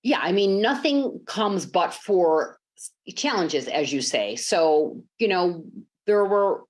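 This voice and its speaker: A woman's voice: fairly low pitch, lively intonation, slow. A woman from Chicago, a sports executive, telling her life story in a podcast interview over a remote call, earnest and reflective with many ums and uhs. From Chicago, United States.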